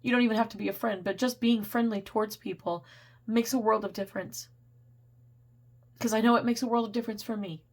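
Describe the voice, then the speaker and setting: Chatty woman tarot reader, voice going hoarse. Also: fairly even intonation, fairly quick. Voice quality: getting squeaky. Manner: chatty, rambling, laughs often. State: losing her voice, getting a cold. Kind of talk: casual tarot reading to camera.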